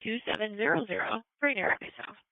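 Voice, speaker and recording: Creaky-voiced woman dispatching on tinny radio. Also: fairly high pitch, expressive, fast talker, dry recording. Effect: two-way radio. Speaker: police dispatcher. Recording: tinny narrow scanner radio feed.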